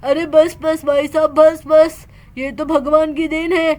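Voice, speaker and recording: Woman playing an older man, calm and consoling, voice dropped lower. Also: slow.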